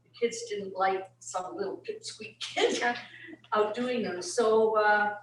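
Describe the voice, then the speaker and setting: An older woman from England speaks slowly and deliberately, telling her own story. Her voice rings in an echoey room, recorded from a distance. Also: mid-range voice, slight background noise. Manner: unhurried, warm, laughs at herself. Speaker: retired teacher, board candidate. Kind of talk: personal speech at a meeting. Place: community centre meeting room.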